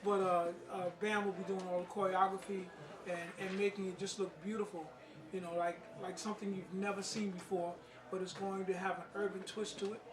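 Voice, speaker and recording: A man's voice: sits high, level tone, fairly quick, a crowd in the background. A middle-aged man speaking informally and emphatically, in a plain-spoken, street-wise way. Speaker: playwright and martial arts master. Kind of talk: informal spoken interview and presentation. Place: indoor gathering at a play reading. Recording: roomy, distant mic, people in background.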